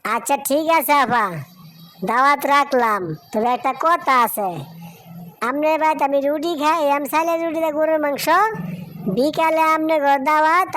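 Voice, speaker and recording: A cheerful cartoon village housewife, acted by a woman, speaks in a fairly high voice. She is effusive and neighbourly, with a regional Bangladeshi Bengali accent. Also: a bit bright. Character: chatty, joyful village housewife and mother. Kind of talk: cartoon moral story dialogue.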